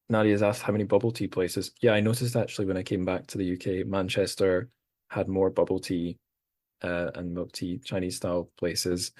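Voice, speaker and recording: A man's voice: low voice, a little mellow, dry recording, studio quality. A man hosting a podcast, chatting casually over a video call and telling rambling, amused stories. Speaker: podcast host. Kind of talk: casual chat.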